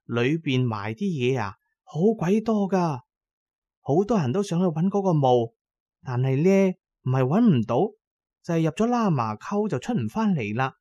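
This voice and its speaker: A man's voice: on the high side, big pitch swings, moderate pace, dark tone, dry recording, highs cut off. Man voicing a folksy young village woman. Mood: folksy, eerie. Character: young village woman recounting eerie local lore.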